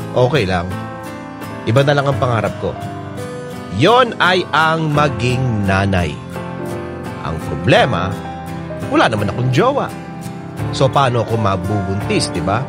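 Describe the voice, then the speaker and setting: A man with a Filipino accent voicing a chatty letter-writer's first-person story for a radio drama, playful and confiding. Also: medium pitch. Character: chatty storyteller reading a thirty-two-year-old woman's letter. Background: plucked guitar music.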